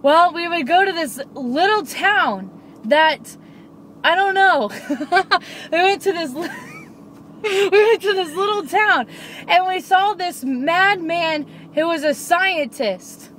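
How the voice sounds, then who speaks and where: Young woman, high voice, silly improvised storytelling, giggly, faintly noisy car interior. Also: very animated, takes it slowly, fairly bright tone. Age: young adult. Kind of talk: playful improvised bedtime storytelling. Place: inside a parked car.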